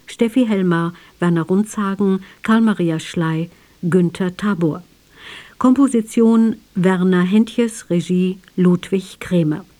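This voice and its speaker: Mellow, unhurried female radio announcer. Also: mid-range voice. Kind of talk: formal scripted 1960s radio announcement. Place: radio studio.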